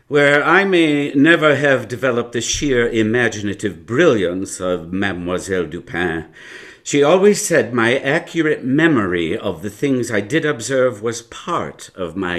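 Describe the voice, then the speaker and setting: Slow-talking elderly storytelling uncle. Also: male voice, mid-range voice. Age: older. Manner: hesitant, chuckling, old-fashioned wordy storytelling. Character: elderly uncle recounting his youth, wry, reflective, teasing. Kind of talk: live radio drama acting.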